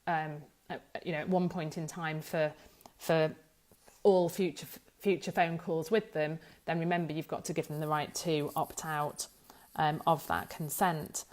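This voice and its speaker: A woman speaks as an expert walking listeners through a practical explainer. Her voice sits a little on the low side. She talks informally and hesitantly, working out her phrasing aloud and correcting herself along the way. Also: flat delivery, measured pace, darkish tone. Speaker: data protection law expert presenting an explainer. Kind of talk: informal explanatory talk to camera.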